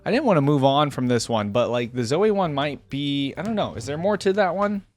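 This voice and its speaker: A man's voice: on the high side, animated, brisk. A man hosting a reaction video, giving informal, slangy, conversational commentary.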